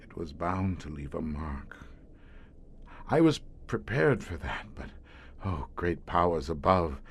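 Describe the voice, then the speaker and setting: A doomed older police inspector slowly turning monstrous. His voice sits low and he speaks very slowly. The delivery is brooding and grandiose, pure radio horror. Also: very animated, husky, full character voice. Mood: weary, anguished. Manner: slow, brooding, grandiose and theatrical. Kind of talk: radio horror drama acting.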